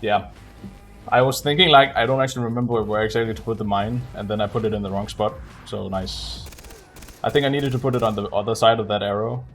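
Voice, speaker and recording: A man's voice: on the low side, steady tone, quick pace, fairly bright tone, soft background music. A man giving casual, chatty livestream commentary while speedrunning a game, swearing now and then. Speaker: speedrunner streaming gameplay.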